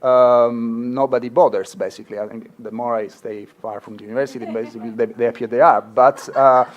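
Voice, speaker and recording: A man's voice: mid-range voice, steady tone, brisk. A middle-aged man with a marked Italian accent, a law professor speaking earnestly at a conference, with frequent fillers and restarts. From Italy. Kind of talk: conference talk.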